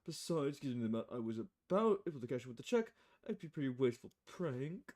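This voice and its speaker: A woman plays a male private eye from a noir visual novel. The voice is dark and mellow, with a grumbling, impatient manner as he fishes for information. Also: extremely low pitch, sing-song, fast, exaggerated voice. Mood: matter-of-fact. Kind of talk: visual novel character voice, film noir dialogue.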